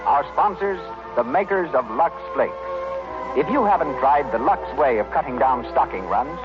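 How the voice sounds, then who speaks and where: High-pitched 1930s American radio announcer man. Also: animated, moderate pace. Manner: formal, declamatory showman delivery. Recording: vintage 1930s radio, dull, music behind.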